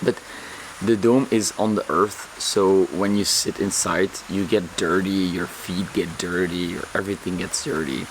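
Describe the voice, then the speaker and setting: A man vlogging calmly and earnestly, his voice slightly hoarse, often repeating words as he searches for them. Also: deep voice, measured pace, noisy background. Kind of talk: reflective vlog monologue.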